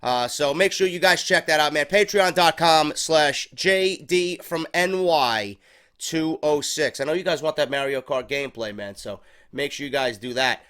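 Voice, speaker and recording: A man's voice: on the high side, normal speed, pushed and bright, clear, resonant tone. A man with a stuffy head cold hosting a casual wrestling review show, rambling and swearing freely. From New York. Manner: profane, rambling, unscripted.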